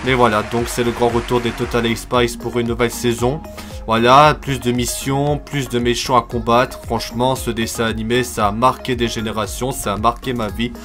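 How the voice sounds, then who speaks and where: Young male YouTube host, casual fan commentary, enthusiastic, mid-pitched, light music underneath. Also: flat delivery, normal speed, fairly bright tone. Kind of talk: casual TV news commentary for a video channel.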